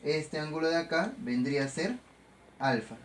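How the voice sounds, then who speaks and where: A man's voice: fairly high pitch, lively intonation, normal speed. A man teaching math in a step-by-step tutorial, explaining didactically and often checking with short rhetorical questions. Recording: clear background noise.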